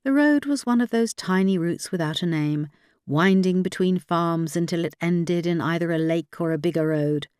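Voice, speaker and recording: A woman's voice: lowish voice, moderate pace, a little mellow, clean tone, no echo, studio quality. A woman audiobook narrator reading dramatic fiction from a script.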